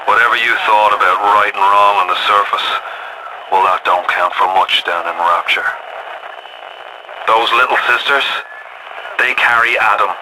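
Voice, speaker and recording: Casting: working-class Irish rebel guide for a game, folksy and urgent, heard over a radio with clear noise. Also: male voice, lowish voice. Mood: grave, warning. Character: gritty working-class rebel man guiding a newcomer by radio. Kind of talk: video game voice acting. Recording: noisy lo-fi radio game clips.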